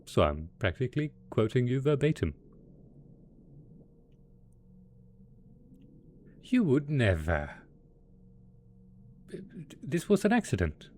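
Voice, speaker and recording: A man's voice: mid-range voice, huge pitch swings, measured pace, darkish tone. A man playing a gleeful, smug and possessively doting mad scientist supervillain boss in a theatrical, playful roleplay audio drama. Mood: wounded innocence. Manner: theatrical, playful, mock-innocent, chuckling.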